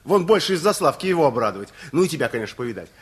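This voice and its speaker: An actor playing a breezy, excitable young married son in a radio play, hearty and full of exclamations. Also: male voice, on the high side, very fast. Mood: offhand, hurried.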